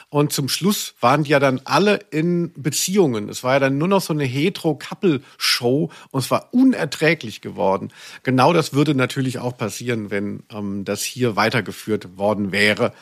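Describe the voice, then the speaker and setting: A man with a German accent hosting a podcast in casual, humorous chat, rambling and teasing. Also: mid-range voice. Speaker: podcast host.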